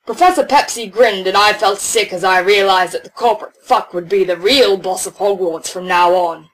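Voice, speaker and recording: A woman's voice: medium pitch, normal speed, poor audio. A woman narrating a scripted first-person story.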